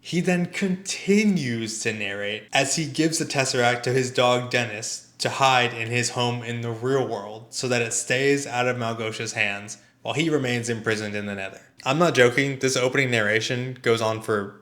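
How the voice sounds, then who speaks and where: A man's voice: mid-range voice, normal speed, hardly any pauses, fairly bright tone. A man giving a conversational scripted film review in a dry, sardonic manner. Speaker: video essayist and film reviewer.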